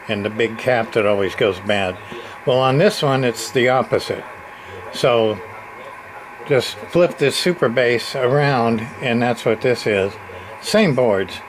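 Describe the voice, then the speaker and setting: A man's voice: mid-range voice, rough recording. A man giving an informal hands-on repair walkthrough in a rambling way, muttering to himself as he goes. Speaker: radio repair hobbyist. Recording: clear background noise, amateur workbench video.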